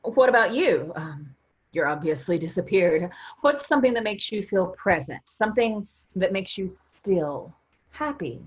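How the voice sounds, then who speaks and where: Woman playing earnest puzzled unseen sibling, lively pitch, husky, stage reading, muffled lo-fi audio. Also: measured pace. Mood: eager, encouraging. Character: earnest, puzzled invisible sibling, gently encouraging others. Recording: dull lo-fi sound.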